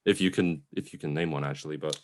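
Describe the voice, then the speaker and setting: A young man in casual film discussion as a co-host, giving measured opinions and posing questions. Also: very deep voice, fairly even intonation, a little hiss or hum. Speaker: film review podcast co-host.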